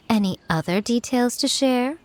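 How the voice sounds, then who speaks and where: Poised, higher-pitched lady. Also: no room sound. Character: poised, teasing, elegant lady.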